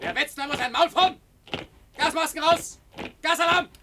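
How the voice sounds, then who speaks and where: A man's voice: very high-pitched, moderate pace. A man playing a harsh, bullying military drill sergeant in a radio play, barking clipped orders. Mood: harsh, commanding. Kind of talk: radio play shouted commands. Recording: clearly noisy radio drama recording.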